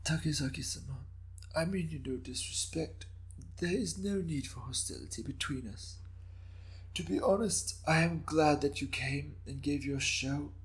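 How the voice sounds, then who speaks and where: A man voicing a shrewd, courteous old Japanese daimyo with measured, formal speech in a dramatic reading of historical fiction. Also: fairly high pitch, voice pushed up, natural voice, poor audio. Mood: gently placating. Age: older.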